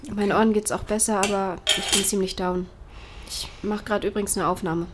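A woman's voice: mid-range voice, fairly even intonation, a little fast, heavy background noise, lightly acted. A young woman playing a frank, slangy vlogger in an audio drama, casual and blunt. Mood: subdued. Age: young adult.

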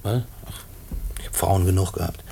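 A man acting a bitter, volatile condemned prisoner in a postwar radio play, agitated and halting, repeating himself in rough slang. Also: low-pitched, mellow, a little hiss or hum. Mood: resigned, despairing.

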